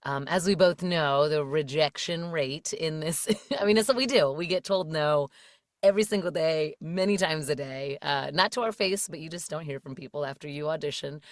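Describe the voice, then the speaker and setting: A woman in her thirties from the Washington DC area chats as a podcast guest with a low voice. She talks with plenty of fillers and easy laughter. The close mic gives a dry sound with no room echo. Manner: chatty, laughs often, many fillers. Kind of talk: casual interview chat.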